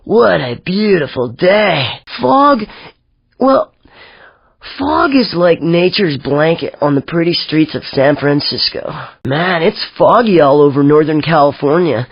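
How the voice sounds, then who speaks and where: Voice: medium pitch, lively intonation, measured pace, breathy edge, no echo, lo-fi sound. An actor voicing a laid-back young hippie surfer dude announcer in a racing video game, drawling in easygoing slang. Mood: excited, amused. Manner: drawling, mellow slang, easily amused.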